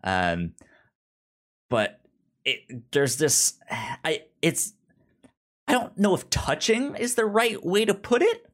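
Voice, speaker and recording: A podcast co-host chatting casually about a show, haltingly, often repeating starts while searching for words. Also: mid-range voice, sing-song. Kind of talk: casual chat recapping a TV show.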